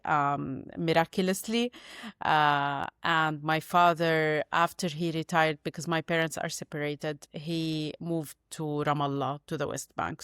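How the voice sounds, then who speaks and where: A woman in her forties, born in Syria and raised in Gaza, speaking as a podcast guest in a thoughtful, pausing way over a video call. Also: sits low, a bit bright, clear, resonant tone, no room sound, studio quality. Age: 40s. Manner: thoughtful, searching for words with many pauses. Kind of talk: reflective interview conversation.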